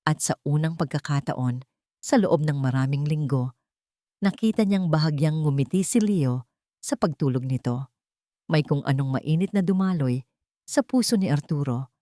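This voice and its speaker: A woman reading a scripted story as a dramatic, expressive storyteller. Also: low-pitched, normal speed, long pauses, dry recording, clean studio sound.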